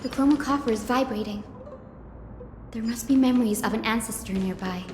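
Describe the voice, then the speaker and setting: An actress playing a gentle, earnest young woman descended from sky people, polite and formal, in a fantasy video game. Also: a little high, fairly quick, background noise, natural voice. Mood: calm, explaining. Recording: echoey hall reverb, music underneath.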